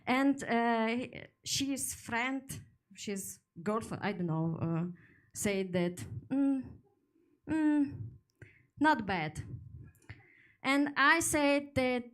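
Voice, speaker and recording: A Ukrainian woman in her twenties doing a stand-up set in halting English with a Ukrainian accent, often laughing at her own jokes and slipping into her own language. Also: a little high, animated, a bit bright. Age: 20s. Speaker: stand-up comedian. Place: comedy club stage with a live audience.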